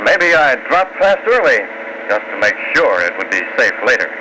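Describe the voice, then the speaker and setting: Cool, persuasive vigilante hero, male actor, lively inflection, old noisy radio thriller. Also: medium pitch, moderate pace, natural voice. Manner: persuasive, commanding. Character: cool, crafty, commanding masked male vigilante. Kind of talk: 1940s radio acting.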